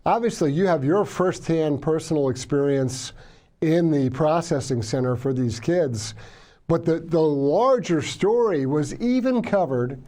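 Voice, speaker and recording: Male host, fairly high but dark and mellow voice, earnest, stumbles on starts of his sentences. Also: lively intonation, a little slow. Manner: earnest, repeats words when starting sentences. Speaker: interview host. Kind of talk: political interview.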